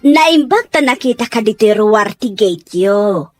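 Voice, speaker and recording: A woman's voice: mid-range voice, animated, unhurried, faint music behind, close to an everyday voice. A woman with an Ilocano accent acting a fish hawker in a radio drama. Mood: coaxing, sales patter.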